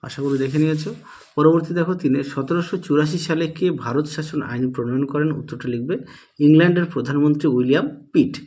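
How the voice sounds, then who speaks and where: A man with a somewhat raised, husky voice leads an instructional walkthrough. He reads out questions, dictates the answers and goes back over key points for students. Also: a little high, fast talker, darkish tone. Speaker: teacher presenting exam solutions.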